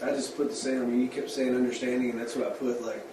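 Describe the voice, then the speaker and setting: A man on a school board, passionate and loud, speaking his mind in a group discussion. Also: mid-range voice, flat delivery, fast. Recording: echoey room, distant mic, background noise.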